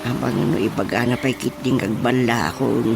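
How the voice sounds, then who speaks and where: A man's voice: mid-range voice, steady tone, creaky, lightly acted. A man with an Ilocano accent playing a flustered, stammering husband and father in a radio comedy-drama. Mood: flustered, evasive. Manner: halting, stammering, exclaiming. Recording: loud music behind the voice.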